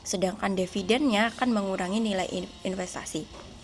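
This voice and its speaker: A young woman presents as a student in an explanatory style. Her pitch is average for a woman, with faint noise in the recording. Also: steady tone, a little fast. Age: young adult.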